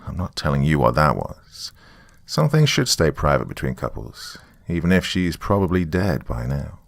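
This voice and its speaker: A man's voice: very deep voice, vocal fry, dry recording, heavily acted. A man playing a wry, jaded, middle-aged divorced small-town guy, telling a horror story conversationally in the first person with dry sarcasm. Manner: sardonic, dry, confiding.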